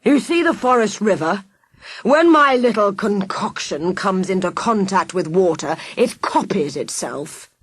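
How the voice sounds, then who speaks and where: A scheming villain mastermind who sneers and lingers over every threat, as a woman reader puts him on. He speaks slowly and deliberately in a dry, close recording. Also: medium pitch, animated, breathy edge, dry recording. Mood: sneering menace.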